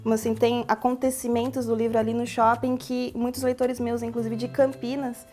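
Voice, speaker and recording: A Brazilian woman with an accent from São Paulo's interior, an author explaining her novel at length in a lively, talkative way in a TV interview. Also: fairly high pitch, a little fast, faint music behind. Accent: Brazilian Portuguese, São Paulo interior. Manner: talkative, animated, long explanations. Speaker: novelist guest. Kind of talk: TV studio interview.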